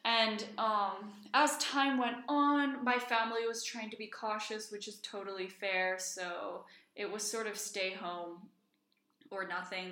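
A woman's voice: medium pitch, sharp tone. A young adult woman from Iceland chatting casually and emphatically as a podcast co-host. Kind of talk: casual chat. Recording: roomy, echoey sound.

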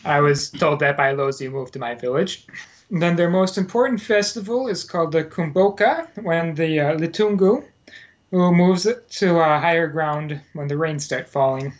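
A man's voice: a little high, normal speed. A man in casual podcast conversation, telling about his time as a Peace Corps volunteer, rambling with frequent fillers and self-corrections. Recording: dull lo-fi sound.

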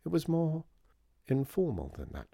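A man voicing a gentle, dignified old lady in a halting, subdued and polite way. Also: a little high, wildly swooping pitch, vocal fry, dry recording, clean studio sound, pitched above the performer's own voice, full character voice. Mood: sad, resigned.

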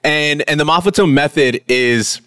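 A man's voice: a little high, moderate pace, a bit bright, dry recording, clean studio sound. A man in his late thirties hosting a podcast, talking casually in a rambling, self-correcting way. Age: late 30s. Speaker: podcast host. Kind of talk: casual conversational talk.

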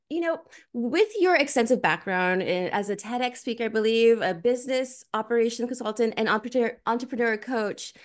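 A woman in her forties hosting a podcast, chatting casually, bubbly and quick to laugh. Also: a little high. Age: 40s. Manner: bubbly, laughs often, lively exclamations. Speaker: podcast host. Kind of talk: casual interview chat.

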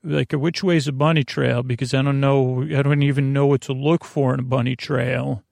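Rambling, creaky-voiced male podcast host. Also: mid-range voice, little pitch movement, a little mellow, dry recording. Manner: meandering, halting, self-interrupting digressions; self-deprecating. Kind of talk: rambling bedtime monologue.

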